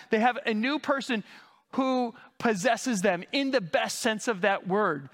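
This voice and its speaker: A man's voice: high-pitched, fairly bright tone. A male pastor preaching a teaching sermon in a church, explaining Greek words in a conversational way while he keeps coughing. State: coughing, recovering from lost voice. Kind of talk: expository sermon. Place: church sanctuary. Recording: long hall reverb.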